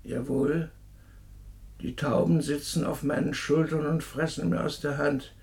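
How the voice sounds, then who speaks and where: An actor playing an excitable, pompous and guilt-ridden man in a radio drama, stammering and repeating himself theatrically. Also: fairly high pitch, unhurried, vocal fry, breathy edge, full character voice. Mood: proud. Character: excitable, guilt-ridden, pompous male anatomy preparator given to melodrama.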